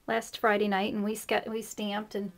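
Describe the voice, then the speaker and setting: A woman hosting a casual craft tutorial, explaining her steps in a chatty way with fillers, her voice a little rough this morning. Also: mid-range voice, monotone, normal speed. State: slightly rough voice. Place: craft table indoors.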